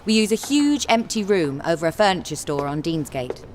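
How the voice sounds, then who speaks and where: A woman's voice: on the low side, sing-song, moderate pace, darkish tone, a little hiss or hum. An actress playing a frank, self-assured young theatre woman with a dry, matter-of-fact manner in a radio play. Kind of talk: radio play acting and narration.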